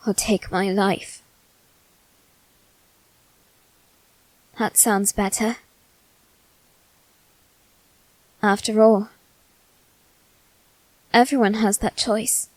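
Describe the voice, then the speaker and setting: Woman voicing a slow, halting, possessive young boy aristocrat. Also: mid-range voice, warm tone, no room sound, poor audio. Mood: tentative, pensive. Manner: slow, halting, measured delivery with long pauses. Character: wounded, possessive teenage boy aristocrat bound by demon contract. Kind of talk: ASMR character roleplay, scripted drama.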